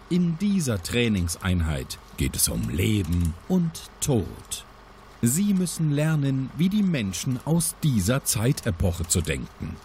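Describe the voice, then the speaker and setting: An actor playing a formal agent-training instructor from the future in a scripted audio drama briefing. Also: male voice, medium pitch, darkish tone, slight background noise.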